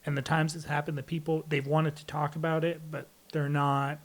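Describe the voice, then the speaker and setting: A man's voice: a little high, flat delivery, moderate pace. A man speaking as a podcast guest in a candid interview, explaining things in a plainspoken, reflective way. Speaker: podcast guest, air traffic controller.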